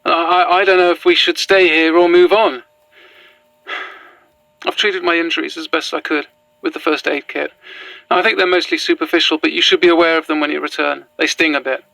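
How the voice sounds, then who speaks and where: An actress playing an exhausted, shaken young archivist in a horror audio drama, hesitant and stubborn. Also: female voice, low voice, steady tone, fairly quick, creaky, fairly bright tone, dry recording, rough recording. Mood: weary, shaken. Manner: hesitant, stammering, sighing. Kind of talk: found-footage horror audio drama acting.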